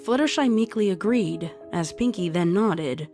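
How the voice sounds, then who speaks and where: Low-voiced woman narrating a story. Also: animated, normal speed, darkish tone. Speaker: narrator, fan fiction reader. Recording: music under the voice.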